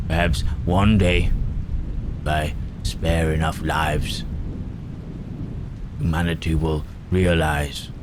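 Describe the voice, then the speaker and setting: A man playing a gentle, remorseful and protective huge monster, Frankenstein's creature, in a halting, hushed, soothing ASMR roleplay with formal phrasing. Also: a bass voice. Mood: grave, weary. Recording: loud rain and thunderstorm noise.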